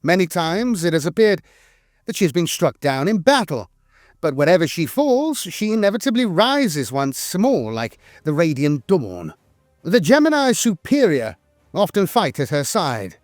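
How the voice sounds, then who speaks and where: A man reads scripted lore narration in a measured, solemn way, with dramatic emphasis on key phrases. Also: fairly high pitch, very animated, no echo, clean studio sound, soft background music.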